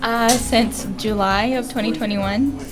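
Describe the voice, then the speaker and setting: A woman's voice: on the high side, steady tone, unhurried. A woman speaking as an interview guest and English teacher, chatting informally and explaining with short examples. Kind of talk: casual interview chat. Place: live broadcast at a market event. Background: music.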